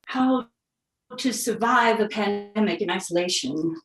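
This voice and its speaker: A woman's voice: mid-range voice, measured pace, lightly acted. A woman acting a wry character coping alone, in a staged reading of a play, in a roomy distant recording.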